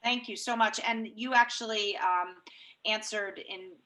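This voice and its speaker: A woman chairing a public online meeting over a video call, speaking in a halting, measured way. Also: medium pitch, fairly even intonation, sharp tone, low-quality recording.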